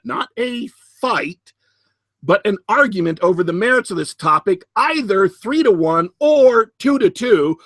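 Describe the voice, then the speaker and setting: A man hosting a podcast, giving solo commentary in a deliberate, emphatic way with sardonic asides. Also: high-pitched, fairly bright tone, no room sound. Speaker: podcast host.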